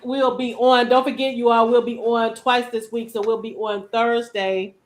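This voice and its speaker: A woman's voice: fairly high pitch, flat delivery, normal speed. A woman hosting a book show, chatting casually and speaking directly to her audience. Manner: chatty, addresses live audience by name. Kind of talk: casual book discussion chat.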